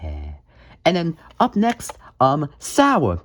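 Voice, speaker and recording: A man's voice: on the high side, huge pitch swings, drawn-out words, fairly bright tone. A man hosting a music collection video, talking casually through his items in a playful, jokey way with mock emphasis. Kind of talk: casual show-and-tell vlog commentary.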